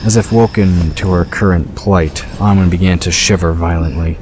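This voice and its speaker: A man's voice: deep voice, steady tone, normal speed, a little mellow. A man reading scripted fantasy fiction as the narrator of a story. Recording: clear background ambience noise.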